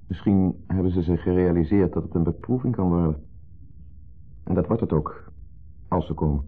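An actor playing an insecure, jealous husband in a 1970s radio play, hesitant, fretful and full of questions. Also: male voice, sits low, moderate pace, breathy edge. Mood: anxious, fussing. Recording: muffled narrow-band 1970s radio recording.

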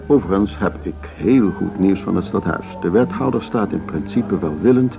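An urbane older man, with a creaky, lowish voice and formal, unhurried phrasing. He is genteel and quietly steering, in a radio play with music clearly behind him. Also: dull audio. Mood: genial, nostalgic. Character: genteel older male board member, urbane, cautious, smoothly steering. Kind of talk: Dutch radio drama dialogue.

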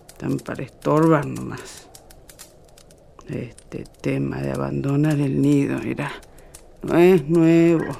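A woman's voice: sits low, creaky, warm tone, slight background noise, full character voice. A woman playing a kindly, weary elderly rural godmother in a radio drama, with a northwestern Argentine accent and folksy, plainspoken manner. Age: older. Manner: slow, folksy, rustic.

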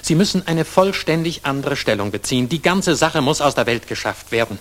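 An actor playing an earnest, anxious older industrialist who speaks formally and pleadingly in a German radio drama. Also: male voice, a little high, expressive, a little fast, a bit bright. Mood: urgent, pleading. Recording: faintly hissy 1960s mono radio recording.

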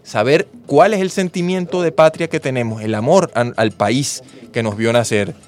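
A Venezuelan man speaks on a radio talk show at a medium pitch, with a Venezuelan accent. He talks in an earnest, patriotic, speechlike way. A faint music bed plays behind him. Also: moderate pace. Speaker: radio host.

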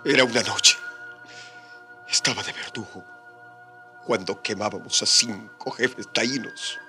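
An actor playing a gravely wounded, repentant conquistador soldier in a radio drama, with halting, labored speech. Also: male voice, on the low side, pushed and bright. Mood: tormented, remorseful. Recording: radio drama with music behind.

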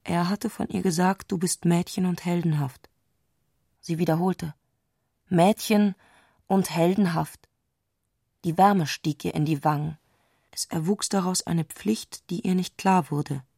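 Eine Frau spricht in einem literarischen Hörspiel die introspektive Erzählerin, die mit bedachten Pausen die Gedanken einer aufgewühlten Frau schildert. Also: female voice, fairly low pitch, fairly even intonation, creaky, warm tone, husky, no room sound, clean studio sound, full character voice. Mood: introspective, hushed. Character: intimate, introspective female narrator of a troubled woman's thoughts. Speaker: narrator in a literary radio play. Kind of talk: literary radio drama narration.

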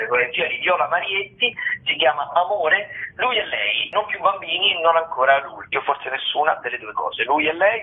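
A man hosting a radio show, presenting listings in a halting way, half reading notes and half commenting off the cuff. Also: a little high. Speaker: radio host. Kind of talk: theatre listings round-up, semi-scripted radio talk. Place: radio broadcast. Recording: narrow, phone-like radio sound.

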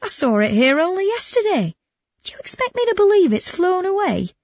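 A woman's voice: extremely high pitch, very animated, a little fast, no room sound, clean studio sound, voice pushed up, exaggerated voice. A woman voicing a cunning male trickster in a storybook, goading and mock-doubting. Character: sly, mocking male prankster, egging on his victim.

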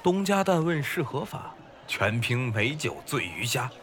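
A man's voice: mid-range voice, very animated, unhurried, a bit bright, a little hiss or hum. A man playing a wistful young scholar turned fisherman in a period audio drama, courteous and poetic in his phrasing. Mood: playful, theatrical.

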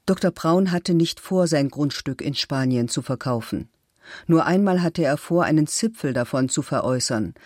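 A woman's voice: sits low, lively intonation, normal speed, darkish tone, no room sound, studio quality. A woman playing a middle-aged murder suspect in a radio documentary, reading statements in a clipped, matter-of-fact way. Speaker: actor reading case records. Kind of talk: scripted documentary radio reading of police records.

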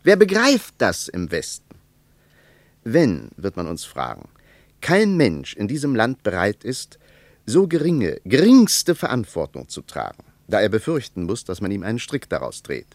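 An actor playing a troubled, reflective West German traveller in a radio play, with an emphatic, rhetorical way of talking. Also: male voice, lowish voice, wildly swooping pitch, a little slow, no echo, full character voice. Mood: troubled, indignant.

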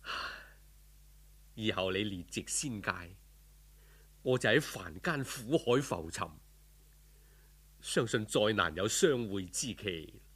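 A male storyteller performs a yearning young scholar from an audiobook folk tale, with a Cantonese accent. He speaks low and slow, sighing politely and plaintively, with a slightly husky edge. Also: lively intonation, fairly bright tone, full character voice. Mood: wistful, resigned.

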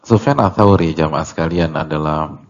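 A man giving an Islamic religious lecture as a teacher, speaking deliberately in short phrases with pauses, on a muffled recording. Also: rumbling low. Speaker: Islamic teacher leading a religious study class. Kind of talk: religious lecture explaining a classical text.

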